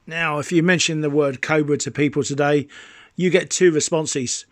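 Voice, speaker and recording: Middle-aged man, slightly raised pitch, savouring enthusiastic delivery, exclamatory, hobby presenter, very dry sound. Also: steady tone, moderate pace, no room sound. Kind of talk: enthusiastic product showcase narration.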